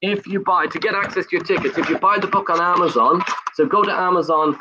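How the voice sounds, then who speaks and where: A man with an Irish accent, whose first language is Irish, talking fast and eagerly as a guest on a video call, rattling off lists in a pushy sales pitch. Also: muffled recording, background noise. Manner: fast, pushy, lists things off in rapid bursts. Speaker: guest, property investor. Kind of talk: enthusiastic sales pitch in conversation.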